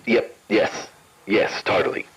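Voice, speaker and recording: A man playing an excitable, complaining invisible man in an audio drama, heard through a walkie-talkie filter. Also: takes it slowly. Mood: eager. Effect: muffled walkie-talkie radio filter.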